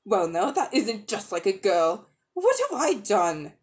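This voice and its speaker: A woman's voice: medium pitch, very animated, unhurried. A woman voicing an earnest, exasperated young schoolboy in a dramatic storybook reading. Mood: indignant.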